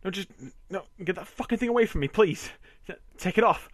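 A man voicing a domineering, possessive husband in an amateur audio roleplay, coarse and swearing, often dropping to a breathy whisper. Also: medium pitch, fairly even intonation, slow pace, dry recording. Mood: panicked.

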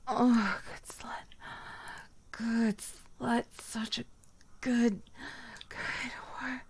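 A woman performing a dominant, teasing audio roleplay, speaking in soft whispers broken by low murmurs. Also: steady tone, very slow, creaky, breathy edge.

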